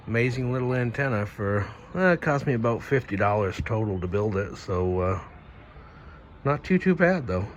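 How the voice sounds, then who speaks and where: A man's voice: fairly low pitch, muffled recording, a little hiss or hum. A man talking casually to camera as a radio hobbyist showing off homemade gear. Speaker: amateur radio hobbyist presenting homemade antenna.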